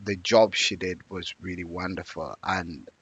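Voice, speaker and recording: A man's voice: deep voice, fairly even intonation, measured pace, a bit bright, slightly rough, dry recording. A man, telling his life story as an interview guest in a reflective, earnest way. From Uganda.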